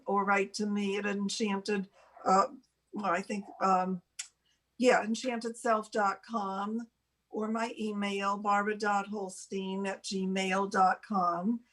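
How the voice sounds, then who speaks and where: Slow, halting woman co-host. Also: medium pitch, fairly bright tone. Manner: halting, pausing mid-sentence. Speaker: show co-host. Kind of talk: casual unscripted online show chat.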